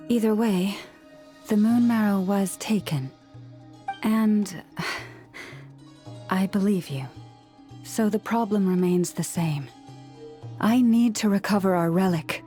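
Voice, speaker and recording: A woman playing a gentle, solemn young leader of a sacred order in a video game, speaking in a measured, formal and earnest way. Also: mid-range voice, faint music behind, natural voice. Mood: resolved. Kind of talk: video game character acting.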